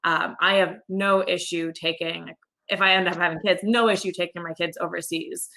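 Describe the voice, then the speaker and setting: Young woman, bright chatty voice. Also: fairly low pitch, steady tone, moderate pace, low-quality recording. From United States (Michigan). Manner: chatty, many fillers and "like". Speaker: Navy officer panelist. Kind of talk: casual panel talk. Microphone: video call.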